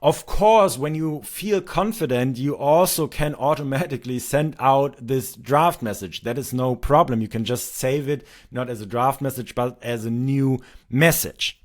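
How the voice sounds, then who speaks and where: A man in his thirties speaks English with a German accent while explaining a software tutorial on screen. His delivery is casual and unpolished, with frequent ums and restarts. His voice sits at an ordinary middle pitch. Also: unhurried, hardly any pauses. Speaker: software developer demoing his tool.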